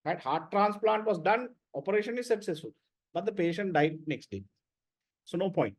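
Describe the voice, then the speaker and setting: A man's voice: high voice, animated, moderate pace. A man lecturing students in an online class, blunt and repetitive, often checking with "right?".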